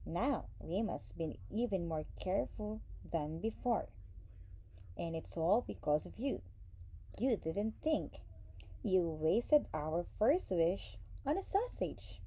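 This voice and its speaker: A woman's voice: lowish voice, big pitch swings, slow, mellow, slightly rough, heavily acted, low-quality recording. Woman acting a scolding, exasperated storybook wife, wildly swooping. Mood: angry shouting. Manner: nagging, exclaiming. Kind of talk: storybook character voice.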